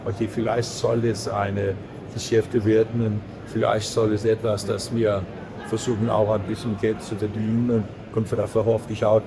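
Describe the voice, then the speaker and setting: A man from Belgium, a podcast guest who speaks halting with many fillers and restarts. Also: fairly low pitch, flat delivery. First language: not German. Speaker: podcast guest, editor of a print-industry film channel. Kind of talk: casual interview chat. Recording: crowd noise behind.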